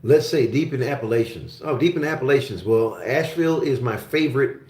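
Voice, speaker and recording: A man's voice: medium pitch, fast talker, a little mellow, roomy sound. A man hosting a casual livestream, chatting and reading viewer questions aloud as they come in. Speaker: livestream host. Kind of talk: casual live Q&A chat.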